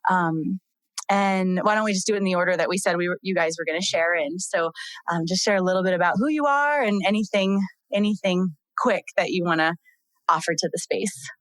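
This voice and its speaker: A woman hosting a podcast, enthusiastic and warm in her talk, with many fillers as she chats. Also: lowish voice, fairly quick, no room sound, poor audio. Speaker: podcast host. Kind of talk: casual group podcast chat.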